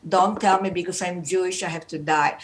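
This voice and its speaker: Older woman, energetic off-the-cuff speaker who rambles and repeats. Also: lowish voice, a little fast. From Romania. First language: German. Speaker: guest speaker. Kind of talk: unscripted motivational talk.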